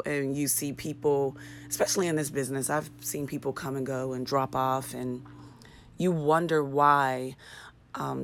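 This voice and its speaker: Woman, low raspy voice, podcast host; casual, candid, ranty, self-deprecating. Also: slight background noise. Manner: chatty, candid, ranting with swearing and self-mocking humor. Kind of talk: casual solo podcast monologue.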